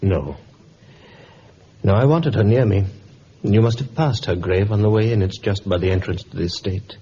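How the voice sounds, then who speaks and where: A man acting a middle-aged, bereaved father in a vintage radio drama, gentle, wistful and hesitant. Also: fairly low pitch, moderate pace, natural voice. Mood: grieving. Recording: muffled, hissy old radio recording.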